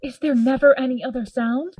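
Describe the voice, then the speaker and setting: A woman's voice: high-pitched, fairly even intonation, fairly quick, darkish tone, slightly rough. A woman acting a grave, commanding Victorian friend investigating a horror in a gothic radio drama, formal and urgent in manner. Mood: tense, demanding.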